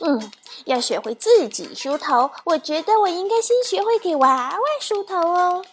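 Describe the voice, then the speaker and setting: A woman voicing a playful, stubborn little princess in a children's audio drama, with cute, expressive childlike delivery. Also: very high-pitched, normal speed, faint music behind. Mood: cheerful.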